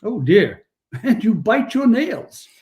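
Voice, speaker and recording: A man acting a genial, sprightly old Santa figure in a 1940s-style radio play, courteous, chatty and gently teasing. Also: high voice, big pitch swings, takes it slowly, highs cut off, exaggerated voice.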